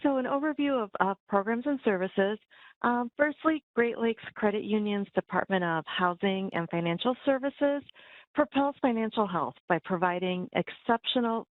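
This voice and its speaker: A woman's voice: medium pitch, no echo. Woman, housing counselor, webinar presenter, friendly, filler-heavy, phone-like sound, dry. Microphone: video call. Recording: tinny phone-like line.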